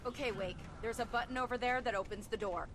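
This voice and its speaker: An actress playing a tough, practical law officer in a video game, speaking directly and with command. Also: female voice, on the high side, fast, heavy background noise, natural voice. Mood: brisk, steady.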